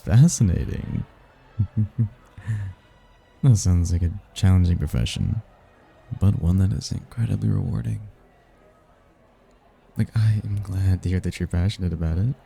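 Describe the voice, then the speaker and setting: Casting: male actor as suave demon seducer for ASMR roleplay, very low gravelly voice, courtly and flirtatious, prideful. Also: unhurried, pauses often, warm tone. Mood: charmed, curious. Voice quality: husky, gravelly. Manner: smooth-talking, courtly, flirtatious. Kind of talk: ASMR roleplay, one-sided romantic conversation. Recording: music behind the voice.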